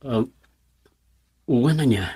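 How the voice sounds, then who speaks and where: A man's voice: mid-range voice, slow, warm tone, breathy edge. A man playing a hesitant, downcast boyfriend who speaks tersely in Ilocano-accented radio drama dialogue.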